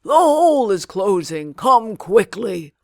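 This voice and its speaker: A woman's voice: medium pitch, very animated, gravelly, dry recording, clean studio sound, voice pushed up, exaggerated voice. Woman acting commanding, scheming old man. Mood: shouting, demanding. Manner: commanding, deliberate.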